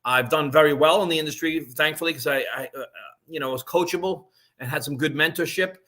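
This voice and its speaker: Bright-voiced rambling New man in his fifties. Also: fairly high pitch, fairly quick. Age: 50s. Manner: conversational, rambling with frequent restarts and "right?" checks. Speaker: online business presenter. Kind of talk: live-streamed sales pitch.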